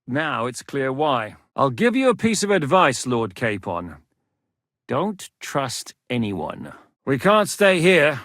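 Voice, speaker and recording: A man's voice: mid-range voice, sing-song, slow, fairly bright tone, no room sound. A man voicing a hardened warlord commander in a video game, blunt and weary, cursing freely. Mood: gruff. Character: hardened male warlord commander, gruff and wary. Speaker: game character voice lines. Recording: dry studio voice recording.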